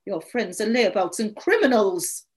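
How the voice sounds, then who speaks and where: A woman voicing a distraught, indignant story character, shrill, accusatory and pleading over her lost dog. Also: big pitch swings, measured pace. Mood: angry, accusatory.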